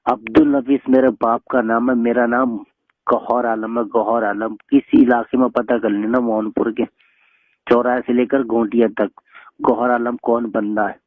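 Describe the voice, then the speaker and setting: A man's voice: medium pitch, level tone, normal speed, no echo. A man argues heatedly on the phone, scolding and threatening in colloquial speech. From Bareilly area, Uttar Pradesh, India. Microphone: telephone. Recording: muffled lo-fi phone call recording.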